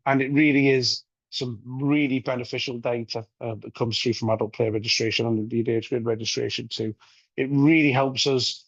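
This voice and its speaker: Croaky guy running a webinar on a video call, lots of ums, dull dry sound. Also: medium pitch, dry recording. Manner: many ums and uhs, steady explanatory delivery. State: croaky from a recent cold. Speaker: playing data manager presenting a webinar. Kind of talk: webinar presentation. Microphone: video call microphone.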